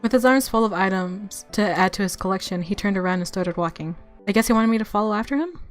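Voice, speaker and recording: A woman reading a visual novel aloud as expressive story narration, mixed with casual asides. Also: medium pitch, quick pace, soft background music.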